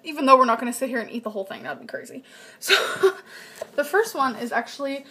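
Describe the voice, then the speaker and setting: Young woman, high voice, casual kitchen vlog on a webcam, chatty, faint hiss. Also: fast talker. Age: young adult. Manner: chatty, reads out nutrition numbers in a flat list. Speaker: vlogger. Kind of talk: casual grocery haul vlog. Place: home kitchen, indoors. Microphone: built-in webcam mic at desk distance.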